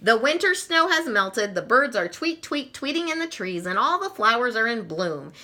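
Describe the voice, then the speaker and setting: Bright, animated woman reading a storybook. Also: on the high side, moderate pace. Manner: expressive, playful, chuckling asides. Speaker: children's storybook reader. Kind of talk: animated read-aloud storytelling.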